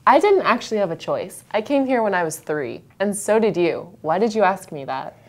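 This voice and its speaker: A teenage girl hosting a student news show, trading dry, deadpan banter from a script. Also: mid-range voice, moderate pace. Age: teens.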